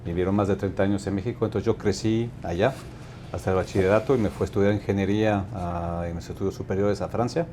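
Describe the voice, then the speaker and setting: A man in his fifties with a low, dark and mellow voice, answering as a guest in a measured, unhurried way and often restarting his sentences. A faint low rumble sits under the recording. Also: steady tone. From Mexico. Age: 50s. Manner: measured, thoughtful, with frequent restarts. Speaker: company director, guest. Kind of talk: interview answers.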